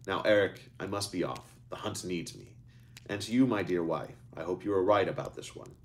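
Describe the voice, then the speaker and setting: A man voicing an ancient wizard who speaks in a measured, fatherly way. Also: sits low. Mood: calm. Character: ancient male wizard, calm and wise.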